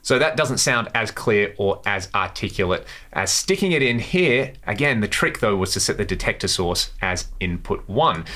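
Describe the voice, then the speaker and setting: A man's voice: on the low side, animated, moderate pace, few pauses, pushed and bright. A man hosting a casual guitar gear tutorial, talking through settings with enthusiasm. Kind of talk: casual instructional demo to camera.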